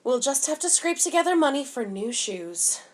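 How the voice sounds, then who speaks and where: A woman voicing a patient, careful mother in a children's storybook, gently explaining and reassuring. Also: high-pitched, very animated, moderate pace, pitched above the performer's own voice. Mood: practical.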